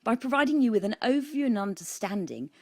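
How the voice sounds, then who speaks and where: A woman's voice: on the high side, sing-song, quick pace, no echo, studio quality. A woman with a British accent reading her own book aloud as scripted audiobook narration.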